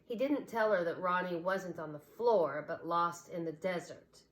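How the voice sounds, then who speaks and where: A woman teacher reading a children's novel aloud, expressive and changing voices for the characters. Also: lowish voice, a little slow.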